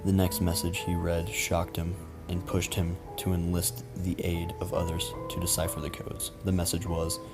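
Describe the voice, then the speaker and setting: Very flat, low-voiced man narrating. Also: normal speed, hardly any pauses. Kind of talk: scripted creepypasta narration. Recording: music behind the voice. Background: background music.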